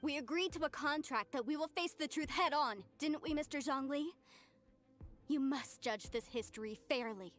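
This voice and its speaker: A woman's voice: high-pitched, normal speed, a bit bright, faint music behind. An actress playing an earnest, zealous young woman in a video game, with a formal, impassioned manner. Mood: bitter. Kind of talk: English game voice acting.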